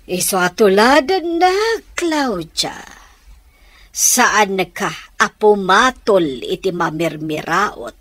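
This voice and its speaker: A woman's voice: mid-range voice, animated, takes it slowly, fairly bright tone, dry recording. A woman playing a scheming, manipulative middle-aged mother in a radio drama, drawling insinuatingly with an Ilocano accent. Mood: smug, scheming.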